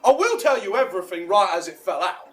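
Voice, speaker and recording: A man's voice: high-pitched, very animated, quick pace. A man playing an exuberant, self-important amateur actor in a theatrical Shakespearean audio drama, declaiming grandly and bossily. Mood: excited, bossy. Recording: roomy, distant mic, music behind.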